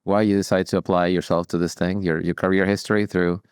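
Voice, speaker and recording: A man's voice: deep voice, flat delivery, fast talker, darkish tone, clear, resonant tone, no room sound, clean studio sound. A man hosting a podcast, talking in an enthusiastic, emphatic way as he introduces and interviews. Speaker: podcast host.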